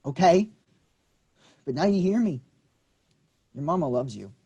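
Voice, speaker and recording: An actor reading a quick-tempered young man, combative and swearing in a staged play reading. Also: a little high, animated, mellow, breathy edge, highs cut off, heavily acted.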